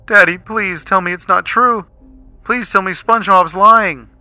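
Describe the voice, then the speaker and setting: A man doing a shrill teenage girl whale, emotional and pleading. The voice is pushed high and bright, with a rough edge and faint music under it. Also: very animated, normal speed, no room sound, full character voice. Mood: distraught. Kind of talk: fan creepypasta reading.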